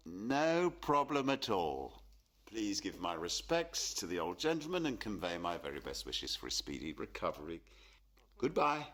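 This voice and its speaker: Gloomy, husky, widely swinging painter father. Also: fairly low pitch, fairly bright tone, heavily acted. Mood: politely formal. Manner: theatrical, brooding, self-pitying. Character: gloomy, superstitious, proud portrait painter and father. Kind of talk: radio play acting.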